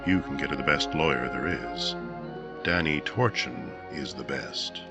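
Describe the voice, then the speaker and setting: A man's voice: very deep voice, unhurried. An actor playing a grave male narrator of a 1950s-style true-crime radio drama, reading scripted second-person narration. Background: loud music.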